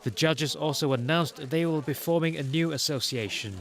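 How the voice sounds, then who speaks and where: A man's voice: on the high side, moderate pace, heavy background noise. A man working as a TV news reporter, reading a scripted news narration.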